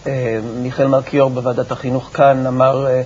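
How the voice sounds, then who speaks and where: A man giving explanatory answers as an expert guest in a television interview, with a halting, repetitive delivery and a creaky voice. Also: medium pitch, completely flat delivery, a little mellow. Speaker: research institute director, interview guest. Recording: muffled, noisy TV broadcast recording.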